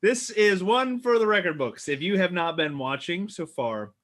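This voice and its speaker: A man's voice: high voice, expressive, normal speed, fairly bright tone. A man hosting a casual livestream chat from a video call. He talks in an upbeat way, laughs often and drifts into fillers. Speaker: host.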